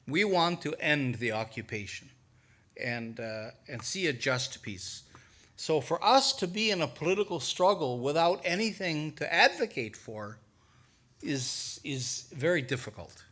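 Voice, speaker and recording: A man's voice: on the high side, expressive, measured pace, a bit bright. An older man, answering an audience question at a talk, hesitant at first with many restarts, then explaining earnestly. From United States. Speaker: guest speaker.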